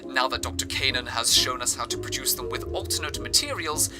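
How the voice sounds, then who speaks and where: A man playing a grandiose, genteel radio drama host who speaks in florid, deliberate phrases, like an old-time broadcast monologue. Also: medium pitch, loud music behind the voice, lightly acted. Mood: genial, showmanlike. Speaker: fictional radio show host.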